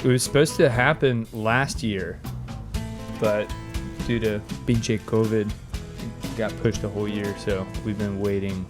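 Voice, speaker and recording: A man in his twenties talks in a low register while clear music plays behind him. He tells his travel story playfully, cracking jokes and swearing casually as he goes. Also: steady tone, unhurried. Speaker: podcast co-host. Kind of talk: casual chat.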